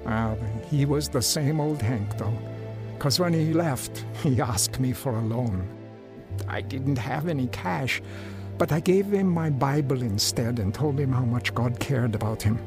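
Actor as an earnest reformed drinker, music behind. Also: male voice, medium pitch, a little slow, close to an everyday voice. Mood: reflective. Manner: hesitant, sincere. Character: earnest, humble reformed drinker recalling his life. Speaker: narrator and lead character. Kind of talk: radio drama acting with first-person narration.

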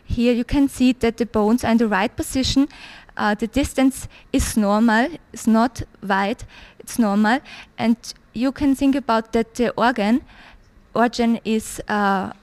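A woman in her thirties from Austria, a native German speaker with an Austrian German accent, giving a hesitant testimony in English with many pauses and fillers. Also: a little high, darkish tone. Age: 30s. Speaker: church visitor giving testimony. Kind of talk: interview testimony.

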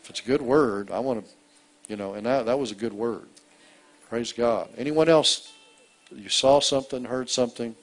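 A pastor guiding a church service, encouraging people and calling out praise between prophetic words. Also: male voice, mid-range voice, normal speed. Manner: encouraging, calls out praise and amens.

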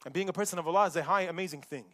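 A young man giving a Friday sermon in a mosque, preaching in an animated way and mixing recited verses with casual asides. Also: high voice, very fast, no room sound, clean studio sound. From United States.

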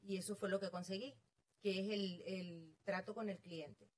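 A woman's voice: mid-range voice, steady tone, normal speed, darkish tone. Casting: middle-aged Venezuelan-accented woman, mid-range voice, chatting informally as an interviewed guest. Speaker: interview guest, real estate agent. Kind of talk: casual conversational storytelling.

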